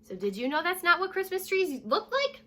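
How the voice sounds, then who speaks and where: A young American woman reading a children's story aloud like a teacher, addressing young listeners directly in a lively way. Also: extremely high pitch, normal speed. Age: young adult. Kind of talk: children's read-aloud with short asides. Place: living room at home, indoors.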